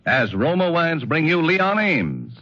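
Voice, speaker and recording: A man announcing as a 1940s radio sponsor spokesman, polished, deliberate and salesmanlike. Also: a little high, huge pitch swings, pushed and bright. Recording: dull lo-fi 1940s radio recording.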